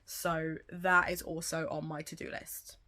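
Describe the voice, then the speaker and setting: Chatty woman with a gravelly cold. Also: sits low, level tone, measured pace, bright, forceful tone. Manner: chatty, rambling, swears casually. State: has a cold, sounds gravelly. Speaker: booktuber. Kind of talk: casual reading vlog chat.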